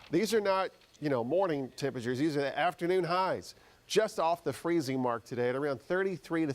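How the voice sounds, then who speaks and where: Male TV forecaster, American, ad-libbed and folksy delivery, mid-range voice. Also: medium pitch. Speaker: TV weather forecaster. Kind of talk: live unscripted weather forecast.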